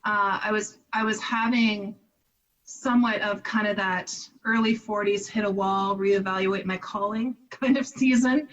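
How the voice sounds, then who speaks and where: A woman's voice: mid-range voice, fairly even intonation, measured pace, fairly bright tone. Woman in her fifties, from Canada, reflective interview guest, laughs easily, muffled distant sound. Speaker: guest, charity director. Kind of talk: conversational interview.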